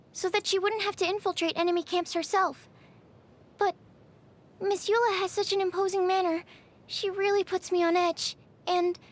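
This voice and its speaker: A shy young female alchemist from a video game. She is timid and earnest, picking precise, bookish words with a nervous hesitance. Music plays behind her. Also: very high-pitched. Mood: flustered, worried. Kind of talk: video game character voice lines.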